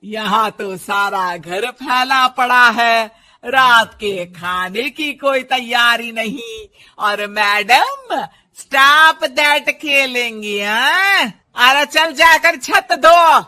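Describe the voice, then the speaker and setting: A woman's voice: a little high, drawn-out words, pushed and bright, exaggerated voice. A woman voicing a bossy, hot-tempered older mother-in-law in a cartoon story, nagging and sarcastic. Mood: taunting. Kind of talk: Hindi moral-story cartoon voice acting.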